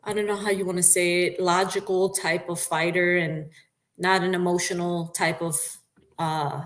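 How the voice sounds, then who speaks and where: A woman's voice: fairly low pitch, normal speed. Laid-back New York woman boxer. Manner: laughs often, relaxed and candid. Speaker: professional boxer. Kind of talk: casual interview.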